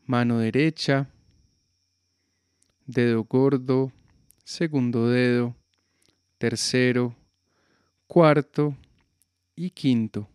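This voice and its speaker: A man guiding a yoga nidra relaxation with slow, calm, soothing instructions. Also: mid-range voice, clear, resonant tone, dry recording, clean studio sound. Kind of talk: guided relaxation meditation.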